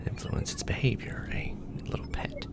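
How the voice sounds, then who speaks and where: A man acting a wry, casual host of an alien symbiote in an ASMR roleplay, with a breathy, creaky voice. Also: moderate pace, lightly acted. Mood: troubled. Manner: casual, wry, hesitant in explanations. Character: weary, joking young man bonded to an alien symbiote.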